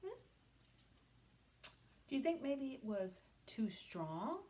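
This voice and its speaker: A woman teacher leading a read-aloud lesson for young children, speaking patiently and pausing after her questions. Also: a little high, big pitch swings, warm tone.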